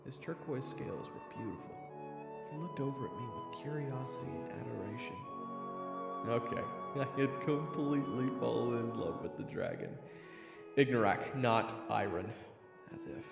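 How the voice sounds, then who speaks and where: Audio drama lead for a man: wry first-person narrator, gravelly, reverberant, conversational and expressive, big music bed. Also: mid-range voice, normal speed. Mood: curious, amused. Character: sardonic young man narrating his fantasy adventure. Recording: echoey reverb, loud game music underneath.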